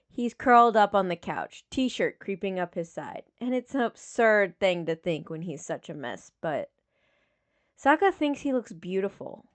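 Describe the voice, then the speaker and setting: A woman reading dramatic fiction as a scripted narration, with measured, solemn phrasing. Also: medium pitch. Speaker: audiobook reader of fan fiction.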